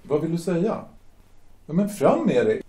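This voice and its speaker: Guy doing a blunt sailor brother, mellow voice, lively pitch, echoey room. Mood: challenging. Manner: blunt, questioning. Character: blunt male sailor back home, left-leaning brother. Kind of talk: amateur audio drama acting.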